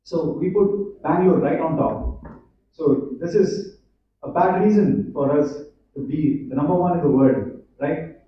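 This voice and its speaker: A man's voice: on the high side, a little slow, a little hiss or hum. A man with an Indian English accent giving a conference talk to students, chatty and full of fillers, often asking the audience questions. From Hyderabad, India. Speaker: conference speaker. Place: large echoey college hall. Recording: echoey hall, distant, dull.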